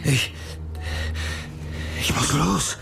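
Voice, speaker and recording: An actor playing an irritable, bewildered man in a radio drama, speaking in a volatile, halting way. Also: background noise. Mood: panicked. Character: Barney, irritable, bewildered man sliding between drugged visions.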